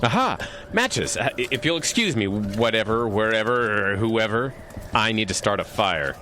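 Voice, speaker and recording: A man's voice: lowish voice, lively intonation, moderate pace, bright, forceful tone. A man playing a freezing, anxious groom-to-be in a horror audio drama, fretfully talking to himself, in a noisy recording. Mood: startled, irritated.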